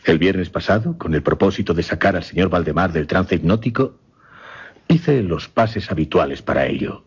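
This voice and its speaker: Man playing an earnest, very husky mesmerist. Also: expressive, normal speed, lo-fi sound, exaggerated voice. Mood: grave, defensive. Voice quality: low, very husky. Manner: formal, grave, dramatic. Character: earnest male mesmerist recounting and conducting a grim experiment. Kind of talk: Spanish-language horror radio play acting.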